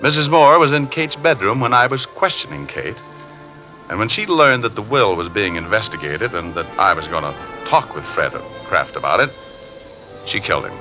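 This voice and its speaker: An actor playing a wry, world-weary American detective recounting a case in a 1950s radio drama. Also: male voice, lowish voice, expressive, moderate pace, pushed and bright, faint music behind, rough recording. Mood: matter-of-fact. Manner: wry, conversational, rueful.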